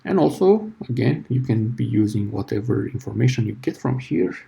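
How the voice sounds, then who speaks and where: A man with a dark, low-bright, gravelly voice teaches a software walkthrough. He talks casually and thinks aloud. He often restarts a phrase to fix a word. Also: expressive, normal speed, a little hiss or hum. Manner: casual, explanatory, self-correcting, thinking aloud. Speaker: tutorial instructor. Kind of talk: screen-share software tutorial.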